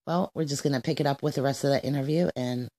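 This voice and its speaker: A woman presents on radio with a distinctly low voice. Her delivery is informal and hesitant, as she feels for her words. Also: fairly even intonation, fast, dark tone. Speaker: radio show host.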